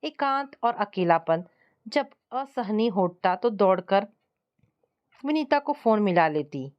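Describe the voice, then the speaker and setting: A woman narrates a scripted domestic story in the first person. Her pitch sits in the middle range for a woman, and she tells it as a storyteller reading from a written text. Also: animated, takes it slowly, highs cut off.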